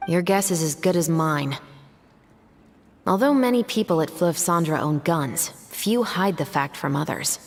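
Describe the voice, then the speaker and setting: An actress playing a composed, businesslike young guard captain in a video game, speaking in a measured, formal, matter-of-fact way. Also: female voice, lowish voice, animated. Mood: analytical. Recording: faint music behind.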